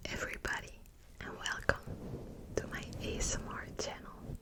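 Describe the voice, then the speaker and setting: A young woman from Belgium with a Dutch accent, whispering softly and hesitantly as she hosts her ASMR book channel, with many small fillers. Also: moderate pace.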